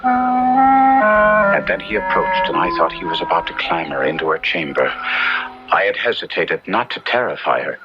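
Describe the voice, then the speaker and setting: A man's voice: low voice, wildly swooping pitch, measured pace, pauses often, heavily acted. An actor playing a passionate, tormented young nobleman in an old-time radio drama, speaking with theatrical, archaic diction. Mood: fervent, dramatic narration. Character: passionate, tormented young Italian nobleman recounting his horror tale. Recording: muffled 1970s radio drama with music.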